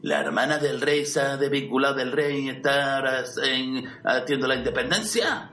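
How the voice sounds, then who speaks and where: A man's voice: medium pitch, lively intonation, normal speed, bright, forceful tone. A man with a Spain Spanish accent playing a boastful, irritable, foul-mouthed fallen conqueror in an amateur parody audio drama, blunt and demanding. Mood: scheming, scornful. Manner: blunt, demanding, incredulous, swaggering. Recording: roomy, distant mic, music underneath.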